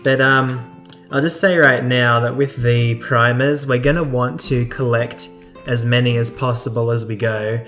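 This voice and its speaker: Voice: medium pitch, steady tone, unhurried, a bit bright. A let's play host giving casual, conversational commentary while playing a video game. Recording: game music behind the voice.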